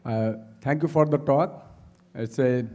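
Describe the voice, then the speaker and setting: A man's voice: medium pitch, measured pace, darkish tone, big-room sound. A man from India, asking an earnest, long-winded audience question.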